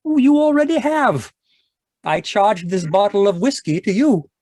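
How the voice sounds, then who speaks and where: Man playing a high-pitched boozy radio-drama sidekick. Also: very animated, unhurried, darkish tone, dry recording, exaggerated voice. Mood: helpful, wry. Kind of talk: 1940s radio detective drama acting. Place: home room, live video call performance.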